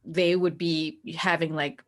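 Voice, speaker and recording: A woman talking casually as an interview guest about her work as a game master, telling it in an animated, conversational way. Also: deep voice, unhurried. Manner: animated storytelling that acts out quoted dialogue, frequent "like".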